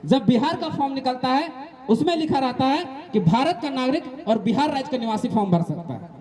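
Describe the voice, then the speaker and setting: A man's voice: very high voice, lively intonation, fairly quick, crowd noise. A man with a Jharkhandi accent giving an emphatic political explainer speech, repeating points and posing rhetorical questions. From Jharkhand, eastern India. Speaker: activist speaker.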